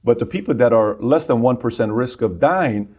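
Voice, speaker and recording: A man's voice: medium pitch, animated, normal speed, a little mellow, slightly rough. A man hosting an interview, pressing his guests with challenging rhetorical questions. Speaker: host. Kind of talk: interview discussion.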